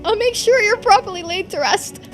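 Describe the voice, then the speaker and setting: A woman's voice: very high voice, expressive, brisk, soft background music, voice pushed up. A woman voicing an anxious, self-doubting young woman in a visual novel game, talking to herself emotionally. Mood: anguished. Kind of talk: dramatic voiced reading of visual novel game dialogue.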